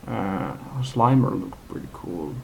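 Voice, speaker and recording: A man giving an unscripted, casual movie-review rant in a halting, blunt way, in a homemade recording. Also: low voice, expressive, creaky, a little hiss or hum, rough recording. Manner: halting, rambling delivery. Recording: homemade, radio music playing behind. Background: music from a live radio.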